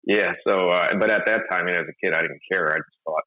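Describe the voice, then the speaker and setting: A man's voice: deep voice, quick pace, sharp tone, dull audio. A man from Maryland, a documentary filmmaker, talking as an interview guest with many fillers and restarts. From Maryland, USA. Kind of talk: conversational interview.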